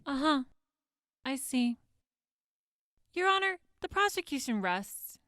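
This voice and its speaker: A woman's voice: high-pitched, sing-song, slow, bright, forceful tone, slight background noise, heavily acted. A woman playing a skeptical, mock-polite prosecutor who draws out pointed questions in an audio drama courtroom. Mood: accusing, disbelieving. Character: skeptical, needling female prosecutor in wasteland court.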